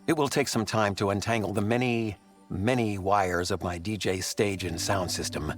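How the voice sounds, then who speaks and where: A man's voice: lowish voice, normal speed, soft background music, lightly acted. An actor playing an eccentric, self-important male scholar and DJ in a game, with a wordy, grandiose showman's delivery. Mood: smug, breezy. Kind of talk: animated game voice acting.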